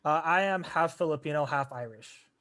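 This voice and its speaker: Young man with a slightly high voice. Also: moderate pace, a bit bright, no room sound, clean studio sound. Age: young adult. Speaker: guest, former club advisor. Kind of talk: casual podcast chat.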